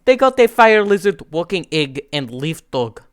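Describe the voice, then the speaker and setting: A man's voice: on the high side, big pitch swings, normal speed, clear, resonant tone, voice pushed up. A man voicing a sweetly cheerful, childlike and slightly menacing Russian man in a comic story, with a Russian accent.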